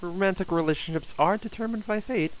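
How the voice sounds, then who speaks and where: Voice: mid-range voice, a little fast, slight background noise, low-quality recording. A game streamer giving casual, offhand live commentary and reading dialogue aloud in a rambling way.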